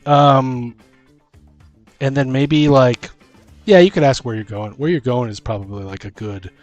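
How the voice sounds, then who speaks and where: A man co-hosting a casual conversation about tabletop games, feeling his way through sentences haltingly. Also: medium pitch, no echo, highs cut off, faint music behind. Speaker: podcast co-host.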